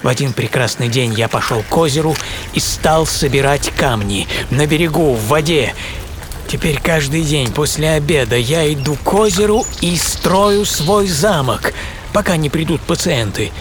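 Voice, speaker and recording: A man playing a middle-aged, introspective psychiatrist in a dramatised first-person radio monologue, theatrical and reflective, with deliberate pauses. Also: fairly high pitch, noisy background, natural voice. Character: middle-aged male Swiss psychiatrist recalling a troubling dream, introspective. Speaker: author and presenter of a radio programme. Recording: radio production over loud music.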